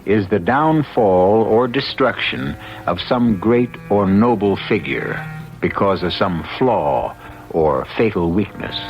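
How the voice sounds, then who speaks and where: A man gives a theatrical radio drama introduction. His voice is deep and slow, with sweeping intonation. The old recording sounds dull, with music underneath. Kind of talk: grave, theatrical scripted introduction.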